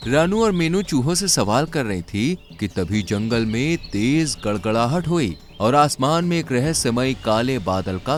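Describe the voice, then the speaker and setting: A male storyteller reads a scripted children's tale. His voice sits at a middle pitch and moves with animated intonation. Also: slight background noise. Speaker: narrator.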